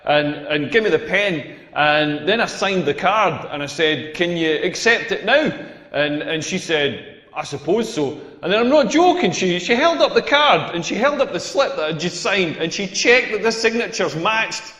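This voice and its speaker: A man delivers a crude stand-up routine in a high-pitched voice that rings around a reverberant hall. He rambles from phrase to phrase, repeating himself for comic effect. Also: animated, moderate pace, pushed and bright. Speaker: stand-up comedian. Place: live comedy venue. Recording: echoey hall with long reverb.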